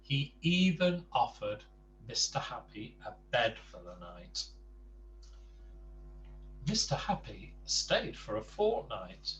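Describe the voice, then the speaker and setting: A man reading a children's storybook aloud in an expressive, emphatic way. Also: mid-range voice, takes it slowly, pauses often. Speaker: bedtime story reader. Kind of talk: animated children's storybook narration with casual asides. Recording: distant mic with faint background noise.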